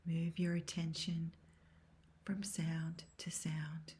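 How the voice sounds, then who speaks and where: Female meditation guide: hushed and breathy, dark soft tone, very slow, calm, measured delivery. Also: deep voice. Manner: calm, measured, soothing instruction. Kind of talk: guided yoga nidra meditation, scripted.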